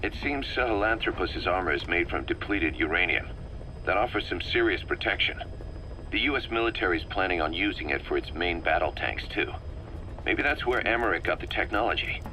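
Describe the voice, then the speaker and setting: A man's voice: low voice, expressive, fairly quick, bright, forceful tone, slight background noise, poor audio. A man voicing a knowledgeable technical advisor explaining weapons technology in a video game.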